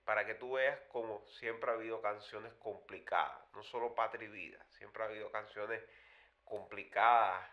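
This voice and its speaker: A man's voice: fairly low pitch, moderate pace, dull audio. A man with a Cuban accent hosting a conversational talk on cultural history, telling it like a digressive storyteller who repeats phrases for emphasis. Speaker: podcast host.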